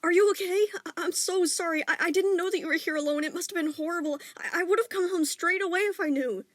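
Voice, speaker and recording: A woman's voice: fairly high pitch, moderate pace, no room sound, close to an everyday voice. A woman voicing a worried, guilt-stricken middle-aged father who stammers through anxious apologies. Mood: frantic apology.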